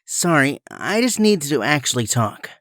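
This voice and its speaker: A man's voice: fairly high pitch, big pitch swings, moderate pace, fairly bright tone, no room sound, clean studio sound. A man playing an awkward, earnest, brainy young superhero in an audio drama, speaking hesitantly. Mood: subdued.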